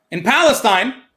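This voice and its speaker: High-voiced man telling a comic story. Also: a bit bright. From United States. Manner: storytelling, acts out his mom's and friend's lines in quick back-and-forth. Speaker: stand-up comedian. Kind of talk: stand-up comedy set over a live stream.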